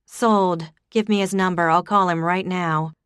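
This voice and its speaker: Perky young woman, emphatic and quick to agree, read by a female narrator, close and dry. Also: fairly low pitch, steady tone, smooth, no echo, close to an everyday voice. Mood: eager. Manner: eager, emphatic. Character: young woman, bright and eager.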